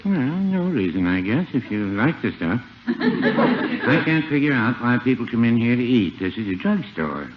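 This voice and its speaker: An actor playing a mild, dry old small-town druggist who needles with a deadpan, folksy chuckle in a 1950s radio comedy. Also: male voice, medium pitch, normal speed. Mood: dry, grumbling. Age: older. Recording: muffled, noisy 1950 radio broadcast.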